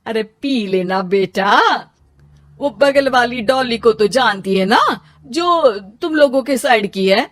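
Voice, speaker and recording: A woman voicing a kindly older mother-in-law in an animated moral story, affectionate and coaxing. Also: a little high, takes it slowly, no echo, clean studio sound. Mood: caring, coaxing.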